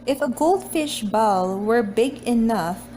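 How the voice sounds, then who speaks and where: A woman with a high-pitched voice reads a children's book aloud at a slow pace. Her delivery is deliberate and expressive, in the style of a homemade read-aloud video. There is noticeable noise behind her. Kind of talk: picture book read-aloud with casual chat.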